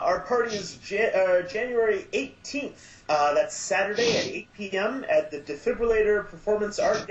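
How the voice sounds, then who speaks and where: A man appearing as a guest on a podcast, speaking casually and haltingly with lots of ums. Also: high voice, reverberant, dull audio. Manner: halting, many ums, easygoing. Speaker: guest, audio drama producer. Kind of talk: casual chat.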